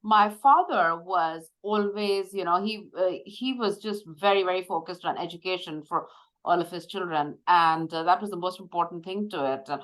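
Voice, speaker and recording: A woman in her fifties from India, a medical device executive, talking through her career in a measured, reflective way with frequent pauses and fillers. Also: fairly low pitch, a bit bright, rough recording. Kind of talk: conversational interview.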